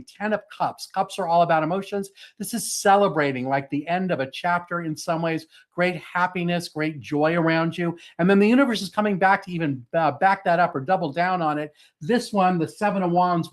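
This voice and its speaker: A man hosting a live online tarot reading, talking fast, chatty and reassuring over a video call. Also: sits high. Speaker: psychic reader, show host.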